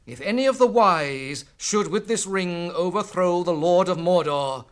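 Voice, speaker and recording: An actor with a British accent playing a solemn, wise ancient elf lord in a radio fantasy drama, speaking in formal, weighty oratory. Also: male voice, high voice, measured pace, smooth. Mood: stern, sorrowful.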